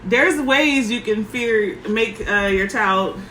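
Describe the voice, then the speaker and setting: A woman parenting coach with a soft voice, giving frank advice in short, emphatic sentences. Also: fairly high pitch, slow, roomy sound, slight background noise, low-quality recording. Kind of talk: casual advice talk.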